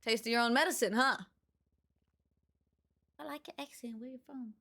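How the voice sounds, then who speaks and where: A woman's voice: fairly high pitch, moderate pace, gravelly, a bit bright. A woman livestreamer chatting casually with her viewers, musing aloud and reading out their comments. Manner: chatty, musing, reads out chat.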